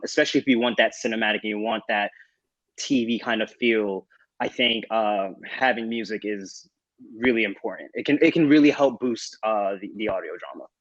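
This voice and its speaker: Lowish-voiced man, slightly dull audio. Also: a bit bright, no echo. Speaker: panelist, fiction podcast creator. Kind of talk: casual panel talk.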